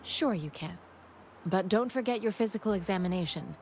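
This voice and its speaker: A woman voicing a calm, analytical young scientist and doctor in a video game, speaking in a measured, clinical way. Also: mid-range voice, slight background noise, close to an everyday voice. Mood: composed. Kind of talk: English video game voice acting.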